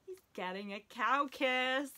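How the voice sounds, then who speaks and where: A young woman as a children's librarian reading a picture book aloud at story time, animated and sing-song, with playful animal noises. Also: sits high, slow. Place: small indoor room, wood-panelled.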